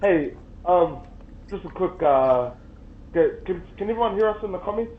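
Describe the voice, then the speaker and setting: A man's voice: high voice, lively intonation, normal speed. A man hosting a casual podcast, upbeat and friendly, calling his guest bro and brother. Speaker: podcast host. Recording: dull lo-fi sound with faint noise.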